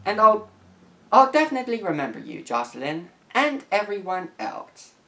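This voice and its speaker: Young man with swooping, lively intonation. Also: high voice, normal speed, vocal fry, slight background noise. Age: late teens. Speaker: video creator. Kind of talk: casual vlog, reading messages aloud.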